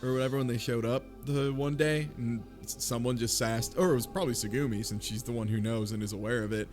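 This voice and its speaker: A man's voice: mid-range voice, steady tone, quick pace, a little mellow, faint music behind. Sweary man in casual group discussion. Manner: sweary, acts out imagined quotes. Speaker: podcast panelist discussing a visual novel. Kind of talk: casual, speculative group chat.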